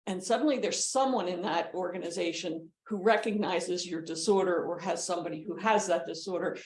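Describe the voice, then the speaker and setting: A woman's voice: fairly low pitch, brisk, darkish tone. A middle-aged woman talking conversationally on a panel as a parent fundraiser, repeating phrases for emphasis.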